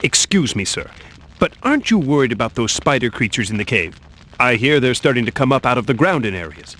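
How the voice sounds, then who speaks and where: Earnest young adventurer, lively intonation. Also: male voice, medium pitch, fairly quick, poor audio. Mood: concerned. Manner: polite, earnest, questioning. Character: earnest young male adventurer seeking a cure for his curse. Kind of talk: video game cutscene acting.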